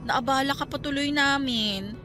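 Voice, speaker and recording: A woman's voice: sits high, moderate pace, over music, close to an everyday voice. A woman playing a guarded, skeptical young single teacher in a Tagalog radio drama, talking in a conversational way. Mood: bashful, polite.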